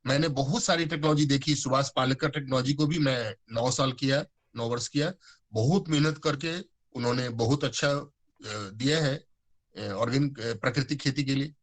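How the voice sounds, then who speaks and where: Guest speaker, male, 50s; informal, halting delivery, mid-pitched voice, video-call sound. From Bihar, India. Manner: conversational, many fillers and restarts, mixes English words. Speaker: guest speaker on organic farming. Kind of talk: informal talk to an online audience.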